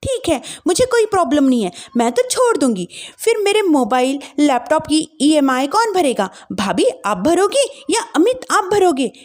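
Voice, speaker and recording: A woman's voice: sits high, very animated, normal speed, hardly any pauses, voice pushed up. A woman voicing a young working daughter-in-law who is indignant and defiant, arguing in a plaintive way. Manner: plaintive, argumentative.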